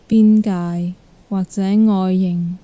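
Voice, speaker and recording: Woman, Hong Kong Cantonese accent, very soft dark tone, slow pace, calm guided meditation narration. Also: mid-range voice, dry recording. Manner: gentle, calm, unhurried instruction.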